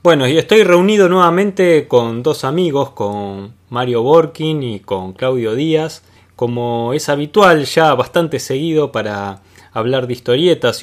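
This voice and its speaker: A man's voice: mid-range voice, reverberant. A man with an Argentine accent hosting a podcast, chatting casually about comics. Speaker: podcast host.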